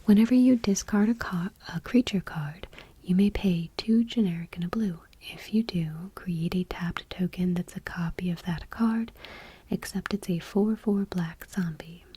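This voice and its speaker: A woman speaking in a soft, breathy near-whisper in an ASMR style, gentle and unhurried, close to the microphone. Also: fairly low pitch, no echo. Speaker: ASMR channel host. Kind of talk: soft-spoken ASMR, reading trading cards aloud.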